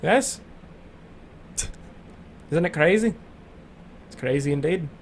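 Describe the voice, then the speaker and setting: A man's voice: fairly high pitch, lively intonation, takes it slowly, a little hiss or hum. A young man co-hosting a radio show, joking and rambling through casual banter. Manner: playful, jokey, rambling.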